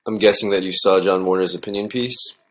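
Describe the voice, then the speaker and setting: An actor playing a worried, cautious high school principal in an audio drama, speaking in a measured, conciliatory way. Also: male voice, steady tone, natural voice. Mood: cautious, concerned.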